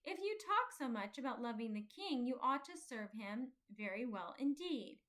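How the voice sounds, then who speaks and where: A woman's voice: a little high, sing-song, moderate pace, a bit bright. Woman voicing an earnest, eager little boy. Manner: eager, polite, sincere. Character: earnest, friendly, trusting little boy pilgrim. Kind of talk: children's storybook character reading.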